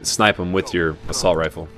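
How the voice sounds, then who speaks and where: A man giving relaxed, chatty commentary over a video game playthrough, with frequent asides and fillers. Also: low voice, a little slow. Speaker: game guide narrator. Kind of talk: casual gameplay commentary. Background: game music and gunfire.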